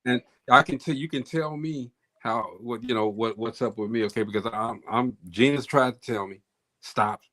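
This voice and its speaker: A man's voice: mid-range voice, moderate pace, pushed and bright, poor audio. A man co-hosting a casual panel talk show, loose and joking with slangy talk. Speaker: co-host.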